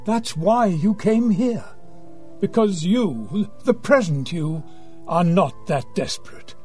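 High-voiced, earnest, unhurried priest. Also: male voice, animated, a little mellow, soft background music. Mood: earnest, admonishing. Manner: measured, earnest, counseling. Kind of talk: audio drama acting.

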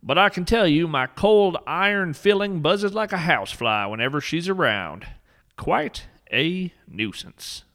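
A man's voice: fairly high pitch, lively intonation, unhurried, fairly bright tone. A man narrating a scripted story with dry, mock-serious humor.

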